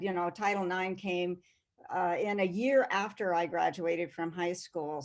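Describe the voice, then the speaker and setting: Modest woman in her sixties, low voice. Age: 60s. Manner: unhurried, modest, many fillers. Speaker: guest athlete. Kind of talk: conversational interview answer.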